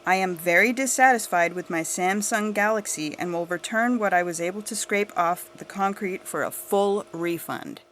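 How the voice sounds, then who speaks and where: A woman's voice: medium pitch, moderate pace, hardly any pauses, fairly bright tone, slight background noise, lightly acted. A woman playing a self-righteous, suspicious suburban mother, telling her tale in a gossipy, indignant way for an audio story. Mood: smug. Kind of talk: scripted audio fiction monologue.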